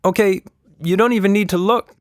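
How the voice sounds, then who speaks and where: A man acts out a stubborn little girl from a children's storybook. The voice is pitched well up, whiny and pleading, with an excitable, insistent push. It sounds close and dry. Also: fairly quick, dry recording, lightly acted.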